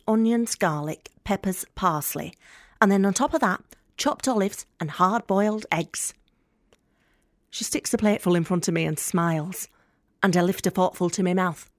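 A woman's voice: medium pitch, no room sound, clean studio sound, close to an everyday voice. An actress playing a tough, guarded working woman from Leeds with a Yorkshire accent, blunt, wry and confiding in an audio drama monologue. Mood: wry, delighted. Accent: Northern English, Yorkshire.

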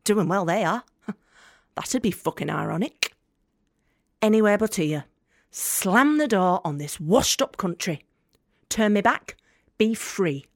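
A woman's voice: medium pitch, animated, takes it slowly, long pauses, no room sound, studio quality. Sardonic young Northern English woman. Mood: defiant, determined. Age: young adult. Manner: blunt, sardonic, sweary. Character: defiant, bitter young British woman student, sharp-tongued and sardonic. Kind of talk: dramatic monologue in an audio play.

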